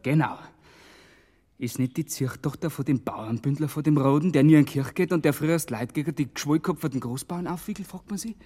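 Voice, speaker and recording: An actor playing a shrewd, determined investigator with a Bavarian accent, explaining emphatically in colloquial dialect in a radio crime drama. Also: male voice, a little high, fairly quick, dark tone, natural voice. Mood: intent, conspiratorial. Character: shrewd, determined male investigator piecing together a village conspiracy.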